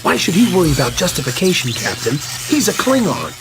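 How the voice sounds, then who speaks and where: An actor playing a cynical, agitated young starship ensign in a video game. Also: male voice, on the high side, huge pitch swings, brisk, music in the background. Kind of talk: scripted video game acting.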